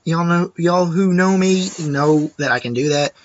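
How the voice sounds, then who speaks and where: A man's voice: a little high, a little slow, lo-fi sound. A man vlogger ranting casually to camera, chatty and rambling with restarts.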